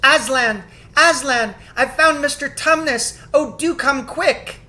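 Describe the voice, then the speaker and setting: A man voicing an excitable, kindly little girl in a storybook, eager and polite. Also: very high-pitched, slow, voice pushed up, full character voice. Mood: urgent, excited.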